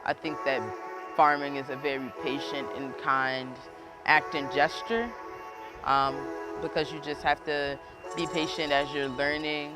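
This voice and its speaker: A man's voice: on the high side, little pitch movement, measured pace. A man, an urban farmer, speaking reflectively as if in an interview. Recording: music laid under the voice.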